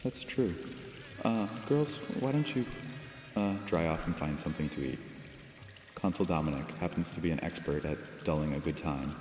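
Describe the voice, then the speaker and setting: Guy doing a smug flirty vampire, super deep voice, lazy teasing, big echoing space w/ music, audio drama. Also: normal speed, darkish tone, a little hiss or hum. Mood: cocky, dismissive. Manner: teasing, lazy, seductive, flippant. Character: cocky, flirtatious, carefree male vampire of Rome. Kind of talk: immersive fantasy audio drama roleplay. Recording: long reverb, music bed, water sounds.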